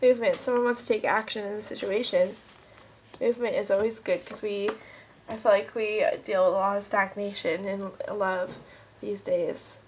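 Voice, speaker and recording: A woman's voice: medium pitch, fairly even intonation, normal speed, slight background noise, rough recording. A woman with a stuffed-up nose giving a casual, rambling pick-a-card tarot reading.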